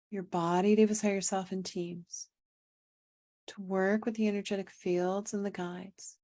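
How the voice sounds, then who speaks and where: A woman leading a guided meditation as a coach, speaking calmly and without hurry, with pauses and gently repeated phrases. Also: medium pitch. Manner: calm, unhurried, repetitive phrasing with pauses. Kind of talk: guided meditation, spoken instruction.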